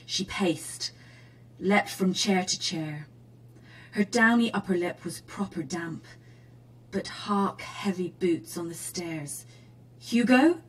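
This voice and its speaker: An actress playing an awkward, flustered romance novelist, nervous and earnest, with a husky voice, in a radio drama. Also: female voice, fairly low pitch, animated, slow pace, exaggerated voice, rough recording. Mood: earnest, breathy reading. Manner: nervous, self-correcting, earnest.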